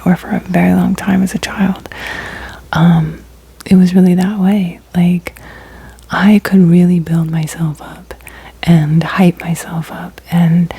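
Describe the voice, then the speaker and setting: A woman in her thirties with a drawl, whispering close and soft in an ASMR chat, pausing often on ums. Her tone sits low, flat and even, with a husky, breathy grain. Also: poor audio. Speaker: ASMR creator.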